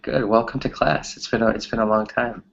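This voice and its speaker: A man's voice: lowish voice, fairly even intonation, a little fast, vocal fry, muffled recording. A man teaching a conversational English grammar class, often checking in with a questioning tag as he explains.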